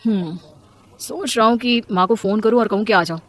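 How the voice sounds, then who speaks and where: A man's voice: sits high, normal speed, music in the background, natural voice. A man playing a weary, scheming young city husband in a story, talking in a conversational, reasoning way.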